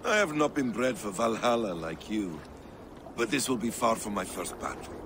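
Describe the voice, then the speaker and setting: A man playing a composed, shrewd mentor of a secret brotherhood in a video game, calm and instructive. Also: on the low side, normal speed, noisy background. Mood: calm, assured. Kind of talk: dramatic video game acting.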